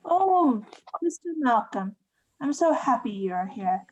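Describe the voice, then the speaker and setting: A woman's voice: a little high, big pitch swings, takes it slowly, darkish tone, lo-fi sound, full character voice. A woman acting in a radio play as a kindly, fretful innkeeper, polite and earnest. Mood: relieved, fussing.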